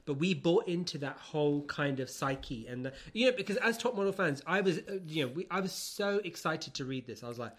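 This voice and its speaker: A man's voice: on the high side, expressive, a little fast, few pauses. A man co-hosting a podcast in casual comic chat, giggly and teasing as he talks. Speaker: podcast co-host.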